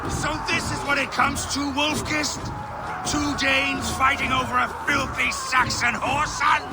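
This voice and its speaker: An actor playing a savage, taunting Danish clan warlord in a video game, sneering insults in a raised voice. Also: male voice, very high-pitched, fairly even intonation, measured pace, loud music behind the voice. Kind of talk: cinematic game dialogue.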